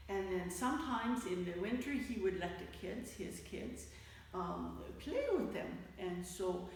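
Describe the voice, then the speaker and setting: A woman reads a children's story aloud at a slow, careful pace, stressing key words expressively. She sounds set back from the microphone in a very echoey hall, and her voice sits at a middle pitch. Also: gravelly. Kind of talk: read-aloud picture book storytelling for children. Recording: echoey hall, distant mic, faint noise.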